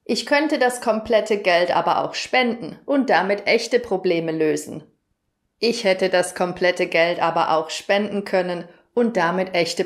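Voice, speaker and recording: A German woman teaching grammar in a lesson video, explaining clearly and asking the viewer questions. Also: on the low side.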